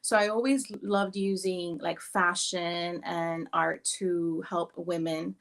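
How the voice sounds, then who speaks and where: A woman in her forties from New York City telling her life story in a relaxed way, with many ums, over a video call. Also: lowish voice, takes it slowly, vocal fry. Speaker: summit speaker. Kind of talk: personal story.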